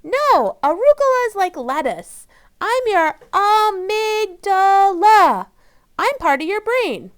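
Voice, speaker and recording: A woman voicing a bossy, excitable little girl in a children's storybook, high, loud and indignant. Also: slow, exaggerated voice. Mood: insistent, cheeky. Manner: dramatic, indignant.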